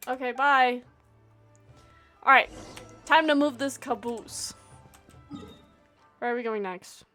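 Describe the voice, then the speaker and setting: A woman livestreaming a video game with casual commentary, playful and expressive as she talks and reads dialogue aloud. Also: on the high side, slow, faint music behind. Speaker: game streamer.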